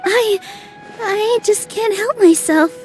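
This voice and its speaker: A woman voicing a sweet, cheerful young dancer in a video game, gentle and eager. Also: extremely high pitch, slow. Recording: studio voice over game music.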